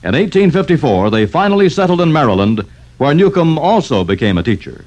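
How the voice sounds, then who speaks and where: A man's voice: on the high side, a little mellow, low-quality recording. A man reading scripted educational narration as a 1950s radio announcer, with formal, emphatic storytelling.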